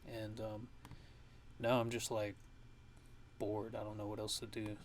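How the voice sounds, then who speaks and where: A man giving a casual music production tutorial in a halting, laid-back, ASMR-style way, talking offhand. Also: on the low side, completely flat delivery, slight background noise.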